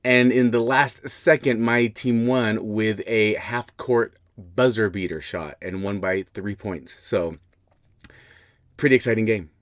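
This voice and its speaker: A man's voice: lowish voice, a little slow, no room sound, studio quality. A man hosting a podcast with a slightly scratchy voice, explaining things casually and restarting his sentences as he thinks aloud. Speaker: podcast host. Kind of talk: casual instructional podcast talk.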